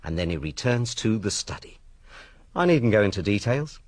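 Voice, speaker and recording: An actor playing a confident, matter-of-fact police inspector in a radio drama, explaining in a cocksure, orderly way. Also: male voice, on the low side, big pitch swings, moderate pace, a little mellow, no echo. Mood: certain, methodical.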